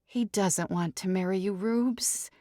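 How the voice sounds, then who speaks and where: A woman voicing a hesitant, caring young maid of honor in an audiobook, careful and gentle in manner. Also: medium pitch, a little slow, dry recording, studio quality, close to an everyday voice. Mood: gently firm. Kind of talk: audiobook character dialogue.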